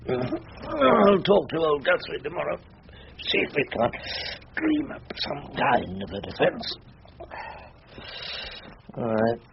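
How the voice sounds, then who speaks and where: An actor playing a wry, eloquent older English barrister in a British drama, speaking in a literary, ponderous way with hums and pauses. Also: male voice, a little high, huge pitch swings, creaky, exaggerated voice. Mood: wry, musing. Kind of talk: British courtroom radio drama acting. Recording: dull lo-fi drama recording, light hiss.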